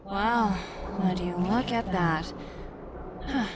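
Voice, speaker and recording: A woman's voice: mid-range voice, slow pace, background noise, close to an everyday voice. An actress playing a confident, shrewd young female corporate agent in a video game, composed and articulate. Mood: curious, surprised. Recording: game music behind. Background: game music.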